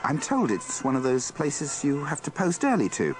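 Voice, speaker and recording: A man's voice: fairly high pitch, huge pitch swings, normal speed, music in the background. A man presenting a scripted, humorous radio compilation with dry, tongue-in-cheek links. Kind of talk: scripted humorous linking narration.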